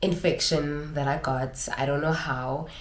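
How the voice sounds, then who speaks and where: Low-voiced woman, nasal and congested. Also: steady tone, a little slow, roomy sound. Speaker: vlogger. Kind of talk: casual advice vlog.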